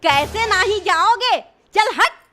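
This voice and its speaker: A woman's voice: very high-pitched, animated, takes it slowly, bright, forceful tone, slight background noise, full character voice. A woman voicing a bossy, quarrelsome village mother in a cartoon, speaking a rural Hindi dialect in a scolding, brash manner. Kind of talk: exaggerated cartoon dialogue.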